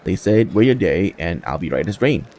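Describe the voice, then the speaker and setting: A man's voice: sits low, big pitch swings, moderate pace, mellow. A man voicing a plucky, plain-spoken young woman soldier from a fantasy game, with a breezy, wry manner. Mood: wry, upbeat.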